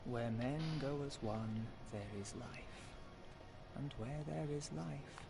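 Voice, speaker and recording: An actor playing a grave fantasy game narrator, speaking in a solemn, measured manner. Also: male voice, medium pitch, vocal fry, background noise. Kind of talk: slow scripted dramatic game narration.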